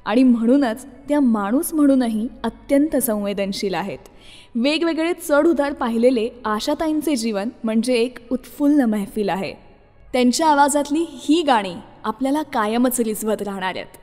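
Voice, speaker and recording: A woman's voice: fairly high pitch, normal speed, mellow, in a large hall. A woman presenting a scripted radio show as its host.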